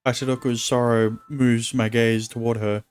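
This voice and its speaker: A male streamer who reads visual novel narration aloud in a steady tone and chats casually between lines. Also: mid-range voice, normal speed, clear, resonant tone.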